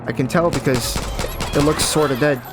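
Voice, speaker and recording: Guy rambling over his gameplay, deeper just-woke-up voice, game sounds loud behind him. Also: normal speed, background noise. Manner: chatty, rambling, self-correcting. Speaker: gaming YouTuber. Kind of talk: casual let's-play commentary.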